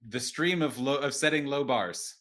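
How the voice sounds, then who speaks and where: A man's voice: mid-range voice, moderate pace, fairly bright tone. A man in casual podcast banter, joking in a dry, wry way as one of the players. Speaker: player on an actual-play podcast.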